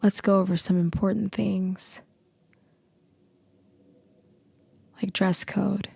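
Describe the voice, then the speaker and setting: A woman playing a dominant, seductive boss in an intimate ASMR roleplay, with a deep, husky, breathy voice that often drops into whispers. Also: little pitch movement, a little mellow, no echo. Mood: commanding, flirtatious. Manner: slow, deliberate, often dropping into whispers.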